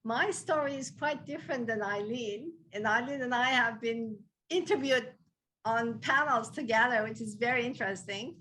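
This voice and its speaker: A woman's voice: fairly high pitch, normal speed, pushed and bright, a little hiss or hum, poor audio. A woman who founded a dance theatre, raised abroad and settled in Canada as a teenager, telling her story on a video call in an earnest, heartfelt way. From Armenian heritage, raised in an Islamic country, moved to Canada as a teenager. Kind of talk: interview storytelling.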